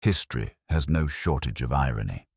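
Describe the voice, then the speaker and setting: A man reading the part of an aging, detached, brooding gentleman detective in a Victorian audiobook, speaking in grave, cryptic pronouncements. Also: rumbling low, expressive, normal speed, slightly rough, no room sound, clean studio sound, voice pushed down, heavily acted. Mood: reflective, grave.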